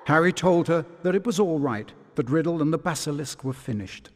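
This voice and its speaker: A man narrating a video game story from a script in a measured, dramatic storytelling manner. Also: fairly high pitch, lively intonation. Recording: long reverb.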